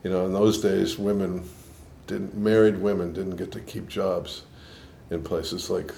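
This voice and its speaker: Older Boston-New York man, very deep voice. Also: little pitch movement, unhurried, creaky, warm tone, a little hiss or hum. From Boston, USA. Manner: thoughtful, with frequent restarts and fillers. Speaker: interviewed scientist. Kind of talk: reflective interview answers.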